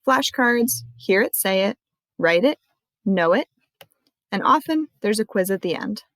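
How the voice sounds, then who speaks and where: A woman librarian walking viewers through a screen-recorded tutorial in a clear, friendly explaining manner. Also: medium pitch, lively intonation, unhurried, a bit bright, dry recording.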